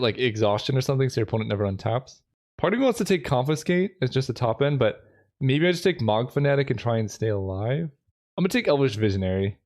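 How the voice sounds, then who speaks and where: Man, hoarse, mid-pitched, casual thinking-aloud commentary, filler words. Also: a little mellow. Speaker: gaming video creator. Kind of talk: casual commentary while drafting a card game.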